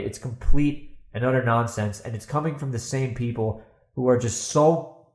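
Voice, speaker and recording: An echoey indoor recording of a man hosting a solo podcast. His voice sits at a middling pitch, and he argues his opinions bluntly and emphatically, often putting questions and answering them himself. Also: darkish tone. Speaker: podcast host and fantasy author.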